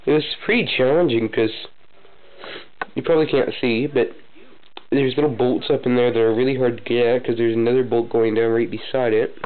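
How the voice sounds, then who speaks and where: A man's voice: steady tone, a little fast. Stuffy-nosed young guy rambling about his build, ums and restarts, sounds like a cheap phone mic. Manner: casual, hesitant, many fillers and restarts. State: has a cold, sniffling. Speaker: hobby builder showing a model. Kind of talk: casual show-and-tell vlog. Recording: tinny phone-like low-fi audio, faint noise.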